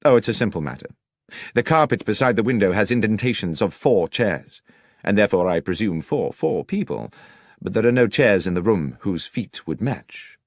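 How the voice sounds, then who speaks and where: A shrewd gentleman detective, played by a male narrator, composed and assured. He puts formal, incisive questions with a widely moving pitch, recorded dry for an audiobook. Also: lively intonation, moderate pace, dry recording. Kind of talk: audiobook character dialogue.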